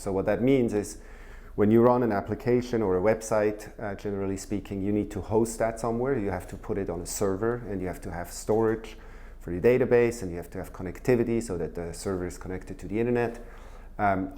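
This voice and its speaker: A middle-aged man from Switzerland, a guest giving measured interview answers full of filler sounds. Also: lowish voice, dark tone. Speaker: guest, former finance executive.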